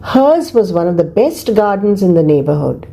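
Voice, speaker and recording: Animated woman storyteller with a mellow tone. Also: medium pitch, normal speed, vocal fry. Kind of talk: scripted children's story narration.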